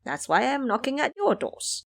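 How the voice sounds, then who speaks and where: Lady doing a cheeky monkey immortal guy, bold and brash, voice jumps around high, audio drama. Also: big pitch swings, moderate pace, creaky, full character voice. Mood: pleading.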